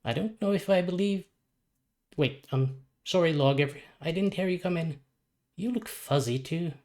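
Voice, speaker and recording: Storybook dying boy played by a man: high, frail, dreamy, innocent, hesitant. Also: normal speed, darkish tone, natural voice. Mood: dazed, wistful.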